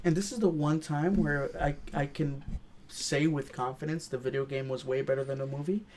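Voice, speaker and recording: A man's voice: a little high, fairly even intonation, moderate pace, a loud crowd. A man co-hosting a review podcast, chatting and telling anecdotes in informal slang. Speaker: podcast co-host reviewing films. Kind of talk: casual chat and storytelling.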